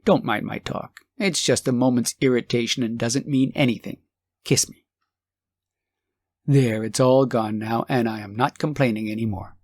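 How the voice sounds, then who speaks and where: A man playing a poor old working husband in an audiobook story, excitable and emphatic. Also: medium pitch, normal speed, no echo, lightly acted. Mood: reassuring.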